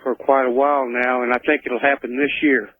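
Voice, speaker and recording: Radio caller, man, monotone and earnest, halting plain talk over a thin phone line. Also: mid-range voice. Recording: noisy, clicking narrow phone line.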